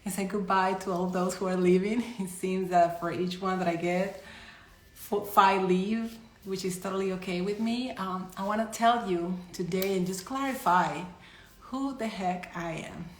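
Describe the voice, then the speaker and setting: A woman's voice: mid-range voice, steady tone, normal speed. A woman in her thirties from Colombia with a Colombian Spanish accent, a psychic medium chatting loosely to her followers on a live stream with frequent restarts. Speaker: psychic medium and energy healer. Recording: echoey room.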